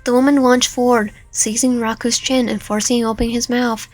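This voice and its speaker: A woman's voice: a little high, little pitch movement, brisk, a little mellow, no echo. A woman narrating a dramatic story read aloud, stumbling over and repeating some words as she reads. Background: background music.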